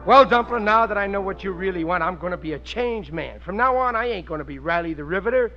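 An actor playing a bumbling, eager middle-aged working-class American husband in 1940s radio comedy, with a broad, hammy, folksy delivery. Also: male voice, sits high, animated, fast, highs cut off, faint music behind. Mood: boastful, eager. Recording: thin, faintly noisy vintage radio recording.

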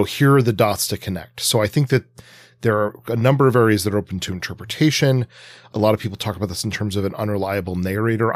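Male podcast co-host, lowish voice, analytical and hesitant, rephrasing as he thinks, very dry close recording. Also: no room sound. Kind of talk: casual analytical discussion.

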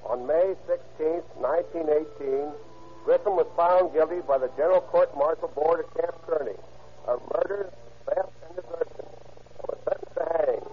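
A man giving formal, deliberate scripted narration as the narrator of a 1930s radio crime show. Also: on the high side, slight background noise. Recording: muffled old radio recording with music.

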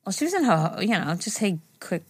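A woman's voice: medium pitch, sing-song, fairly quick, breathy edge, no echo, clean studio sound. A woman co-hosting a podcast in casual, blunt, informal talk, swearing freely. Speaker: podcast co-host. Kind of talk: casual chat, reading listener emails aloud.

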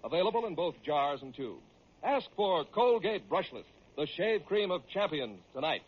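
Husky American radio announcer on an old broadcast. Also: male voice, on the high side, sing-song, moderate pace, highs cut off. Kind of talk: old-time radio announcing and sponsor pitch.

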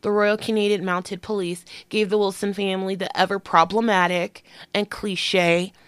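A woman's voice: medium pitch, little pitch movement, moderate pace, a bit bright, clear, resonant tone, dry recording. A woman hosting a true crime podcast with allergies and a slightly nasal voice, reading the case and slipping into casual, profane asides. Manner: reads the case then breaks into slangy, profane asides.

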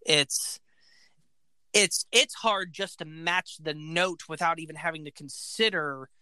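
A man with a high voice, talking eagerly about a band in casual podcast chat, full of facts and "like"s. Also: a little slow, pushed and bright, clear, resonant tone, no room sound. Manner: enthusiastic, fact-filled, says "like" often. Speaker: podcast co-host.

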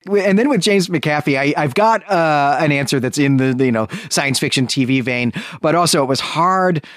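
A man's voice: fairly high pitch, normal speed, a bit bright, no room sound, studio quality. A man hosting a podcast in casual discussion, talking in a chatty, enthusiastic, rambling way. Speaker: podcast host.

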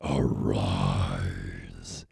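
Monstrous, abyss-deep gravelly cyborg zealot. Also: male voice, darkish tone, no room sound, studio quality, exaggerated voice. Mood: quiet menace. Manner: slow, solemn, preaching. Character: monstrous half-machine male cult leader, menacing, grandiose. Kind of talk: dramatic audiobook character acting.

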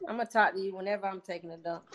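A woman's voice: medium pitch, fairly even intonation, rapid-fire, slight background noise. A woman guest in casual chat, talking in a blunt, slangy, emphatic way. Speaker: interview guest.

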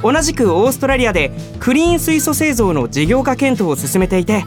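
An actor playing an earnest, polite young office junior in a Japanese radio drama, easily exasperated. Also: male voice, extremely high pitch, very animated, a little fast, music in the background. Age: young adult.

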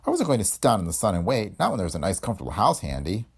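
A man's voice: fairly low pitch, wildly swooping pitch, very fast, breathy edge, heavily acted. A man voicing a cocky, sardonic, hard-headed investigator in a hardboiled detective story, wry and tough-talking. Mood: cool, defiant. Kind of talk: hardboiled detective audiobook dialogue.

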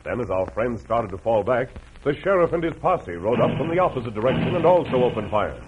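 A man's voice: mid-range voice, big pitch swings, fairly quick, background noise. An American man narrating a radio western as its announcer, in a dramatic vintage scripted style. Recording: tinny, narrow old radio recording. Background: music.

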